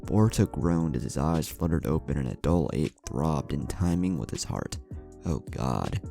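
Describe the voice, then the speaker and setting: Very deep, dark-voiced male story narrator. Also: normal speed, vocal fry. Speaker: narrator of a fan fiction story. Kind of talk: scripted dramatic story narration. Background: music.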